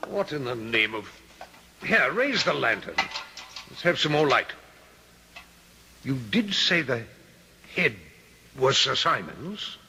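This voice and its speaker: An actor playing an arrogant, smooth-talking doctor of dubious repute in a gothic radio mystery, with a haughty, theatrical manner. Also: male voice, medium pitch, big pitch swings, takes it slowly, pauses often, pushed and bright, exaggerated voice. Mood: shocked. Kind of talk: old-time radio drama acting. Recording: noisy old radio drama recording.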